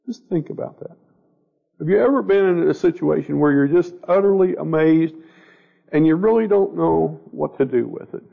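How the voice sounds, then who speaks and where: Man, Bible study teacher, gravelly and stopped up, conversational, somewhat higher voice, dull lo-fi audio. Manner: conversational, repeats words, asks the group questions. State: stuffy and a little scratchy from a cold. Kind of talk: informal Bible study lesson. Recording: dull lo-fi recording.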